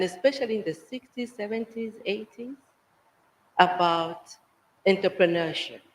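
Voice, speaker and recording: Soft-spoken woman in an echoey hall. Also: lowish voice, a little hiss or hum. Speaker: audience member asking a question. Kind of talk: audience comment at a conference. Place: conference hall.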